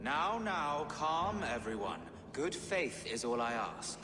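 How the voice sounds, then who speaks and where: A man's voice: a little high, very animated, slow. A man playing a pious, pleading, anxious bishop in a video game, earnest and formal. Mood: urgent calming. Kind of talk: dramatic video game acting. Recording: reverberant hall, faint noise.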